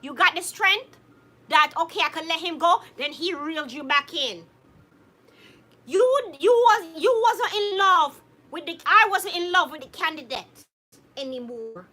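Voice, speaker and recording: A young woman with a very high voice and a bright, sharp tone hosts a relaxed live-stream chat. She reads out viewer comments. She laughs often, greets viewers by name, and keeps up an animated, chatty manner. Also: a little slow. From Jamaica. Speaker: co-host reading viewer comments.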